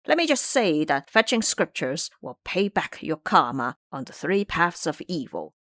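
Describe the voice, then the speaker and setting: A woman's voice: low-pitched, big pitch swings, normal speed, a bit bright, no echo, studio quality. Woman doing a grumbling, foolish pig disciple. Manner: blunt, complaining.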